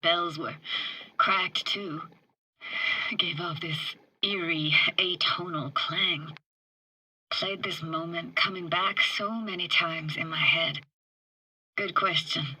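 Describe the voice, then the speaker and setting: A woman's voice: fairly low pitch, steady tone, slow, long pauses, bright, forceful tone, husky, full character voice, poor audio. An actress playing a tough but tender young techie woman in a video game, speaking in a casual, clipped, slangy way. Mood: wistful. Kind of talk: naturalistic video game dialogue.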